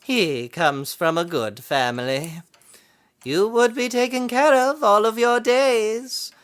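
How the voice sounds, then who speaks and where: An imperious old woman of means in a period audio drama. She is stern and disapproving, taking her time over every phrase in a smooth, clear voice. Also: on the high side, slow. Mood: imperious, persuading.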